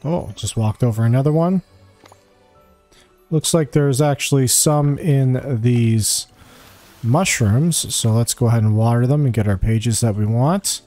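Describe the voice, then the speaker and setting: A man's voice: mid-range voice, unhurried, mellow, faint music behind. A man giving a casual video game walkthrough, thinking aloud in short, offhand remarks as he plays. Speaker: gamer giving a quest walkthrough. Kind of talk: casual gameplay commentary.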